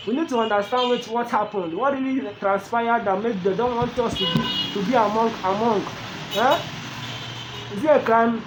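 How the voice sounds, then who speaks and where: A Nigerian-accented man with a high-pitched voice talks in a pleading political rant, circling back with question after question. Traffic noise is clearly heard behind him. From southeastern Nigeria. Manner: pleading, repetitive rhetorical questions. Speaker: commentator. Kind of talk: impassioned political monologue.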